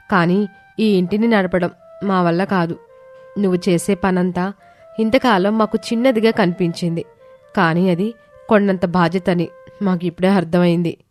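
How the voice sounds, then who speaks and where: A woman's voice: lowish voice, a little mellow, natural voice. A woman voicing an emotional, plain-spoken younger daughter-in-law in a family story drama.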